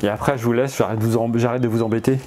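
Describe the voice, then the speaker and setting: A man's voice: mid-range voice, flat delivery, rapid-fire, dark tone, a little hiss or hum. A man with a cold, rambling in a hesitant casual vlog, full of fillers and restarts and chatty asides to his viewers. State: has a cold. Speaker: vlogger. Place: outdoors in spring.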